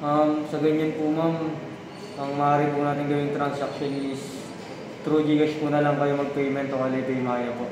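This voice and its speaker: A man's voice: a little high, level tone, normal speed, lightly acted. A young man playing a polite, sly online scammer posing as shop staff in an amateur student film. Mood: polite, businesslike. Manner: deferential, courteous phrasing. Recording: very echoey hall, distant noisy mic.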